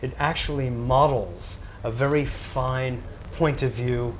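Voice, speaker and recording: A man hosting a conversational interview, talking in a measured, encouraging way. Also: medium pitch, fairly even intonation, a little hiss or hum. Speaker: talk show host.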